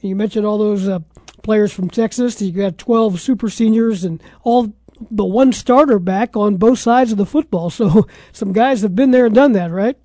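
Mellow male sports radio host, dry studio sound. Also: high-pitched, moderate pace, dry recording. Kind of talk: sports talk radio interviewing.

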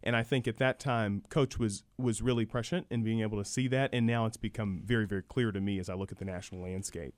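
A man's voice: on the low side, steady tone, quick pace, few pauses, no room sound. A man speaking as an expert guest in a sports talk interview, explaining things and repeating words for emphasis. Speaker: guest, university program director.

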